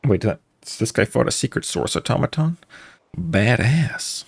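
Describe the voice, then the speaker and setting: A man's voice: mid-range voice, expressive, a little slow, gravelly, slightly rough. A man live streaming a game, giving casual, joking, slangy commentary and talking back to what happens on screen. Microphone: streamer's microphone, game music behind.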